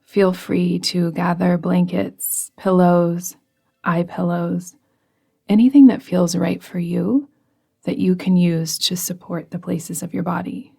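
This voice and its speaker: Slow, hushed woman guiding meditation. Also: on the low side, steady tone. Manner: soft, soothing, gently instructing, breathy phrase endings. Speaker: yoga nidra guide. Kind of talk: guided meditation. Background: music.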